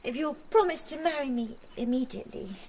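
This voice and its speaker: A woman's voice: high voice, a little fast, darkish tone, a little hiss or hum. An actress playing a desperate, wounded married woman in a radio drama, speaking with emotional intensity to her lover. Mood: hesitant, pleading.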